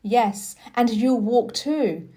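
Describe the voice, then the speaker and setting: A woman's voice: fairly high pitch, lively intonation, drawn-out words, warm tone. A woman reading a children's storybook, voicing a kind, confident country boy who encourages with emphasis. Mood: encouraging.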